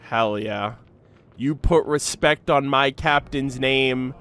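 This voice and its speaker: A young man giving casual, excited reaction commentary in slangy, gushing, animated fan talk. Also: mid-range voice, slow pace, smooth. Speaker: reaction video creator.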